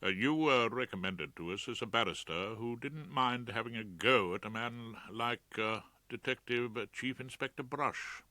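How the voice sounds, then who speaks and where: A man's voice: mid-range voice, a little slow, hardly any pauses, bright, forceful tone, dry recording. A man voicing a young British public-school man, a bereaved brother who is polite, modest and aggrieved, in an audiobook. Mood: politely aggrieved. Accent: British English. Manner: modest, inoffensively charming, deferential.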